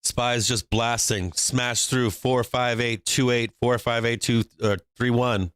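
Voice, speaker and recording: A man's voice: medium pitch, steady tone, unhurried, a bit bright, clean tone, no echo, clean studio sound. A man from Boston hosting a live stock trading stream, brash and joking, laughing often between calls. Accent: faint Boston. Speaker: stock market livestream host.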